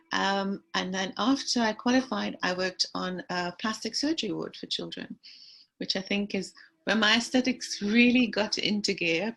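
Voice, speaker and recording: A woman in her sixties, born and raised in Trinidad, chatting as a guest about her nursing career in a relaxed, storytelling way with easy laughter. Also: medium pitch, vocal fry, poor audio. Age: 60s. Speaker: aesthetic nurse, guest. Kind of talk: relaxed conversational interview.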